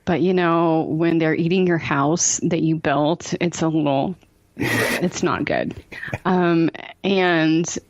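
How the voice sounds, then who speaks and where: A woman's voice: low-pitched, slow, no echo. A woman guesting on a podcast, chatting casually and effusively, repeating herself for emphasis.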